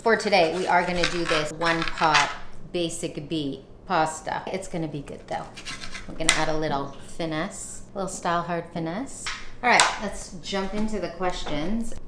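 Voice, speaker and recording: A woman in her thirties with a chatty, confident manner, talking casually with playful asides while she cooks at home. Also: lowish voice. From Canada. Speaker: vlogger. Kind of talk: casual chat while cooking. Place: home kitchen. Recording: echoey kitchen, faint noise.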